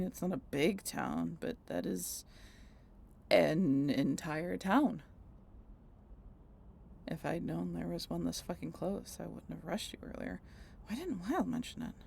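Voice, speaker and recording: A woman's voice: lowish voice, vocal fry, darkish tone, a little hiss or hum, heavily acted. A woman playing a snarky, teasing female vampire in an audio roleplay, talking to the listener in a wry, conversational way. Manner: sardonic, wry, playful, conversational. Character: snarky, swearing female vampire road-tripper, teasing and dramatic.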